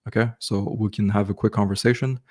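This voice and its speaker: Give me a man with a deep, warm voice hosting a casual live Q&A. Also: steady tone, quick pace. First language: French. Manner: casual, repeats "okay" and "bro", frequent restarts. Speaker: host coaching online business. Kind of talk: live stream Q&A, casual advice.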